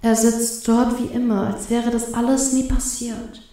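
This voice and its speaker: Frau spielt schüchterne junge Frau, stark hallend. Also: female voice, a little high, flat delivery, a little slow, mellow, natural voice. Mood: embarrassed. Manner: hesitant, nervous stammering. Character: timid, flustered young woman, shy and devoted. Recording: very echoey, reverberant voice.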